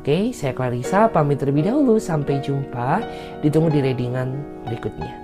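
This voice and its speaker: A woman's voice: sits low, lively intonation, a little fast. A woman tarot reader with an Indonesian accent, chatting casually and speaking the feelings of the other person in the first person. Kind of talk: casual tarot reading monologue. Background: soft guitar music.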